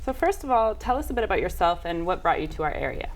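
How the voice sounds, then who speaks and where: A woman hosting a community talk show, reading scripted lines in a bright announcer-like way. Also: on the low side, big pitch swings, fast talker, a little hiss or hum. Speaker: host.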